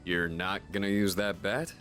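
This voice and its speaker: A man's voice: sits low, fairly even intonation, normal speed, a bit bright. An actor voicing a confident, stoic, athletic older teenage boy in a video game, understated and cool. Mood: cool, curious. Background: game music.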